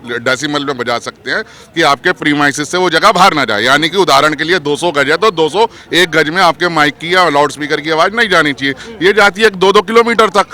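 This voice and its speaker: A man's voice: a little high, brisk, few pauses, sharp tone. A man giving argumentative political opinion in an interview, emphatic and rhetorical, mixing in English terms.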